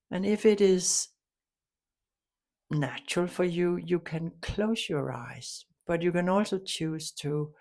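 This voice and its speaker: A woman leading a guided meditation, giving soft instructions in a calm, soothing, unhurried way with long pauses. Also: deep voice, gravelly.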